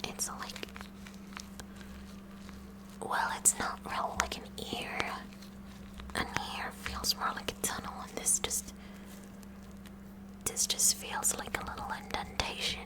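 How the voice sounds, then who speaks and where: A woman voicing a kooky, curious high school science club president in a halting, excitable breathy whisper for an ASMR roleplay. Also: close to an everyday voice, poor audio. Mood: nervously probing.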